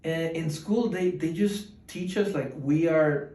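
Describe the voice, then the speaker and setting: Man, 20s, from Ecuador. Slightly high voice, loose chatty interview answers, recorded in an echoey room off-mic. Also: a little slow, dark tone, recorded from a distance. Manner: rambling, many fillers and restarts.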